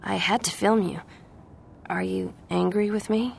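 A woman reader voices a plain-spoken, earnest young man in a storybook. The delivery is simple with a creaky edge, and there is faint noise underneath. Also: mid-range voice, fairly even intonation, unhurried. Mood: earnest, smitten. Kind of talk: audiobook character voice.

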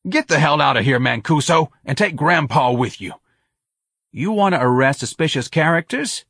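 A man voicing a loud, exasperated police sergeant who scolds a junior officer. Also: fairly high pitch, expressive, normal speed, husky, no room sound, clean studio sound, pitched above the performer's own voice, heavily acted. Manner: yelling, scolding.

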